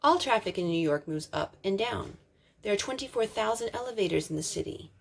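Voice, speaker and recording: A woman reading a picture book aloud as a storytime host, expressive, drawing out words for emphasis. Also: medium pitch, fairly quick, vocal fry. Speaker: storytime reader.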